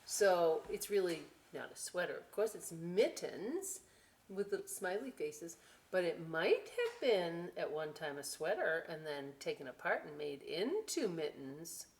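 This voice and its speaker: A woman reading aloud to children as a story time host, expressive and drawn out, with chatty conversational asides. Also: on the low side, measured pace. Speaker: library story time reader.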